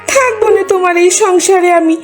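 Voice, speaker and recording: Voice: very high-pitched, steady tone, normal speed, soft background music. A performer voicing a fed-up, scolding wife in a comic fox cartoon, indignant and complaining. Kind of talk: comic animated cartoon dialogue.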